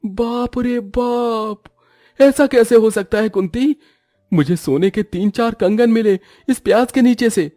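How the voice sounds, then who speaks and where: A man voicing an excitable, good-hearted village husband and father in a cartoon story, with an exclaiming manner. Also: extremely high pitch, a little slow, no room sound, studio quality, faint music behind, pitched above the performer's own voice. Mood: astonished.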